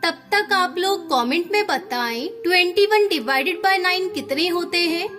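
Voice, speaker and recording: Very high-pitched woman storyteller. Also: animated, normal speed, fairly bright tone, faint music behind. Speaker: narrator. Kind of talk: scripted children's story narration.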